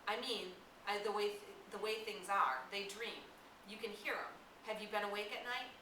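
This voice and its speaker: A practical, serious boy leader in a storybook, acted out by a woman, earnest and easily exasperated. The voice is lifted in pitch and sounds distant in a roomy, hissy recording. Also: fairly even intonation, normal speed, pushed and bright, natural voice. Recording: roomy, distant mic, clear hiss.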